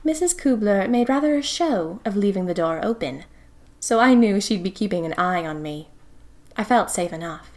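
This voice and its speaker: A young woman playing a spirited, romantic single woman of the 1920s, narrating her diary in an expressive, wistful, theatrical way. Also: mid-range voice, moderate pace, lightly acted. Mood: breathless storytelling. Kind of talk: dramatic first-person diary narration.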